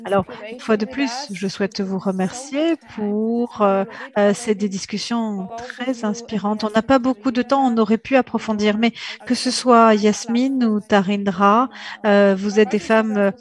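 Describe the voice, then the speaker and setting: A woman moderating an online panel discussion, speaking hesitantly with frequent filler pauses and restarts. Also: mid-range voice, fairly even intonation. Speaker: forum moderator.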